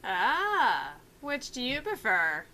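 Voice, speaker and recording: A woman's voice: fairly high pitch, huge pitch swings, slow, bright, forceful tone, heavily acted. A woman voicing a cheeky, eager American tank girl in a video game, playful and teasing.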